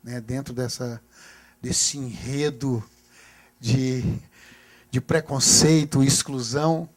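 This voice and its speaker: A man with a Brazilian accent hosting a conversational interview, putting questions in halting phrases. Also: medium pitch, fairly even intonation. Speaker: host interviewing guests.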